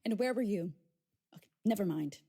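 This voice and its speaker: A woman's voice: mid-range voice, animated, fairly quick, vocal fry, warm tone, husky. Patronizing institute woman in charge. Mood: curt, sardonic. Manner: condescending, controlling. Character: brisk, patronizing female institute staffer, falsely cheerful. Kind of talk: radio drama acting.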